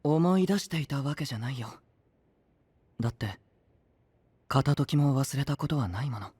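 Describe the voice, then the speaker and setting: An actor playing a gentle young knight burdened by devotion, in an anime-style voice drama. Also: male voice, medium pitch, lively intonation, normal speed, slightly rough, no room sound. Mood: brooding, quiet.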